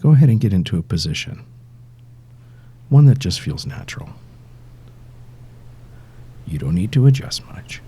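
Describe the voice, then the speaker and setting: A man narrating a guided sleep hypnosis session in a soothing, measured way, pausing between short phrases and letting endings drop softly toward a whisper. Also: breathy edge. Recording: steady background noise, low rumble and ticking. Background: ticking clock-like rhythm.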